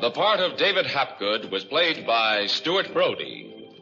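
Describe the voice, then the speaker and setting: A man's voice: mid-range voice, huge pitch swings, measured pace, creaky. A man narrating 1940s radio suspense as announcer, in an ominous, theatrical manner. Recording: muffled old radio, heavy reverb, loud music.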